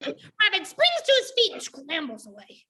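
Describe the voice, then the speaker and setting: Casting: female stage-directions reader, piercingly bright and lively scripted narration. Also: very high-pitched, normal speed.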